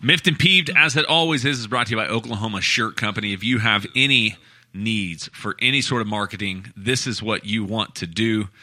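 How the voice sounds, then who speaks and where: A man reading a sponsor spot in a casual, emphatic way, his voice a bit low in pitch but very bright. The sound is close and dry. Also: brisk, no echo. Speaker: podcast cohost reading an ad. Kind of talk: casual ad read.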